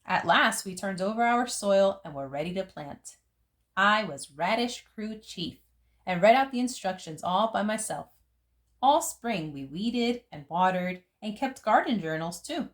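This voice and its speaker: Animated woman reading a picture book. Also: medium pitch, measured pace. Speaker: read-aloud storyteller. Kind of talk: expressive picture book narration.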